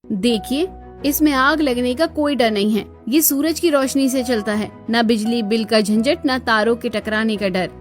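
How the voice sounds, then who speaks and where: A woman's voice: on the high side, normal speed, faint music behind, close to an everyday voice. A woman voicing an outspoken, earnest young daughter-in-law in a Hindi cartoon story, speaking assertively and persuasively.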